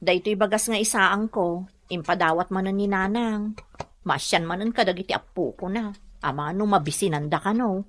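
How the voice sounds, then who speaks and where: A woman's voice: medium pitch, normal speed, a little hiss or hum, close to an everyday voice. A woman playing a struggling but determined, hardworking wife in a radio comedy drama, earnest and plaintive, with a Northern Luzon Filipino accent. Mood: weary, earnest.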